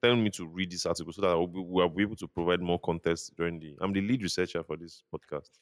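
A young man with a Nigerian accent and a very low, deep voice, trading casual jokes on a podcast. His sound is close and dry, and he slips Pidgin phrases into easy banter. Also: fairly even intonation, very fast, dry recording. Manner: joking, self-mocking banter with Pidgin phrases. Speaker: podcast co-host. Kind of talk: casual chat.

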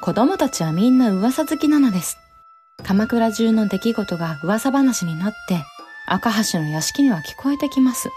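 A woman's voice: fairly high pitch, lively intonation, moderate pace, mellow, soft background music, close to an everyday voice. A woman playing a spirited, forthright young samurai bride in a Japanese period audio drama, candid yet politely archaic in speech. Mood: admiring, forthright.

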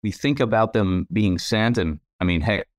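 Casting note: male podcast co-host, low voice, dry close sound, chatty and self-deprecating. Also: normal speed, dry recording. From United States. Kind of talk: casual interview chat.

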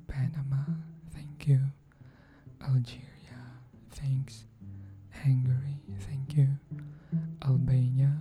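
A woman hosting a podcast, thanking her listeners in a soft, often near-whispered, unhurried voice. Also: extremely low pitch. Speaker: podcast host. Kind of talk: thank-you message to listeners. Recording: cello music playing under the voice.

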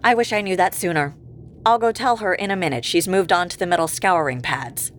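A woman's voice: lowish voice, brisk, slight background noise, lightly acted. A woman playing an exasperated, sardonic agency boss in a comedy audio drama. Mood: sarcastic.

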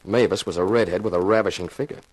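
An actor playing a dry, wisecracking insurance investigator who narrates a 1950s radio detective drama. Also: male voice, sits low, fast. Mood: sardonic narration. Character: wry, hard-boiled male insurance investigator and narrator. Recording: slightly noisy 1950s radio recording.

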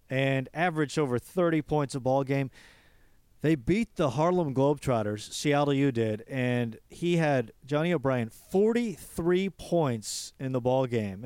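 Smooth, dry-voiced enthusiastic sports host. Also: male voice, medium pitch, darkish tone, no room sound. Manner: enthusiastic, reels off stats. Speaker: baseball podcast host. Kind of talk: casual sports talk monologue.

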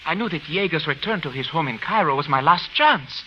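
A man's voice: on the high side, expressive, a little fast, natural voice. A male actor playing an anxious, earnest wronged man in old radio drama, speaking formally and pleading with careful phrasing. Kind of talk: 1940s-50s radio drama acting. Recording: muffled old radio recording, faint noise.

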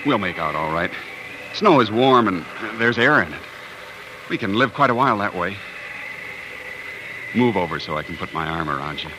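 An actor playing a protective, plain-spoken young pioneer husband in a 1940s radio drama, folksy and reassuring. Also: male voice, sits low, animated, normal speed, long pauses. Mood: tender, reassuring. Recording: old noisy 1940s radio broadcast.

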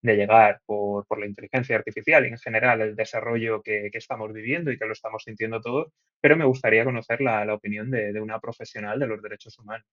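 A man hosting a podcast interview, lively and lightly joking, stumbling a little now and then. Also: fairly low pitch, fast, few pauses. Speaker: podcast host. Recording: dull lo-fi audio.

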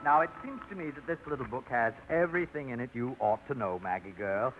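A man's voice: mid-range voice, animated, a little fast, natural voice. A fussy, hesitant man, played by an actor who stammers, his voice rising and falling a lot. It comes through a tinny old radio broadcast with faint hiss. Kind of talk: 1950s radio drama acting.

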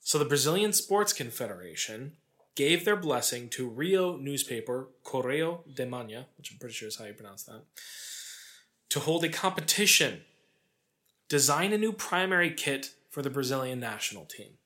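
A man's voice: medium pitch, animated, moderate pace, pushed and bright. A man hosting a podcast, telling sports history in a casual, conversational way with wry asides. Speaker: podcast host. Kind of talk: casual storytelling about sports history, part scripted.

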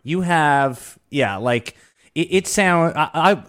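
A man's voice: on the high side, expressive, takes it slowly, fairly bright tone. A man hosting a casual fantasy sports podcast, rambling and restarting his sentences often. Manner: rambling, with many restarts and filler words. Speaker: podcast host.

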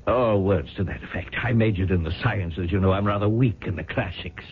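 An actor in a 1970s radio drama playing a genial, smug professor who plots sabotage in a pedantic, chuckling, theatrical manner. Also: male voice, lowish voice, fairly quick, breathy edge. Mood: airy, sly. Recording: phone-like vintage radio recording, faint music.

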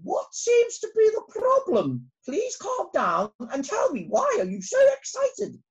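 A woman voicing a commanding female queen bee in a storybook, speaking in a high, stately, bossy way. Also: huge pitch swings, unhurried, lo-fi sound, heavily acted. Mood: concerned.

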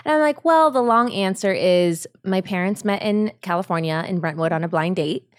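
A woman's voice: mid-range voice, normal speed, dry recording, studio quality. A woman in her thirties from Ohio, telling her story as a guest in a casual interview. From Ohio, USA. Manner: chatty, upbeat storytelling. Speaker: guest, brand founder.

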